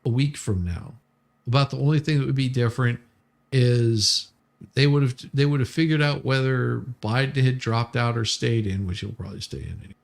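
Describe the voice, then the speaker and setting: A man with a gravelly, mid-pitched voice talks casually on a livestream. He explains haltingly, circling back and repeating words as he goes. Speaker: live streamer, co-host.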